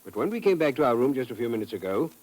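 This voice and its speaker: An indignant English husband on holiday in a vintage radio play. He talks very quickly, blustering with pompous displeasure at a medium pitch. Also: sing-song, darkish tone, poor audio.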